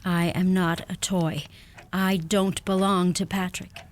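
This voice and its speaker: An actress playing a self-possessed, tender young bride-to-be in a radio play, speaking directly. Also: female voice, fairly low pitch, level tone, slow pace. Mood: challenging.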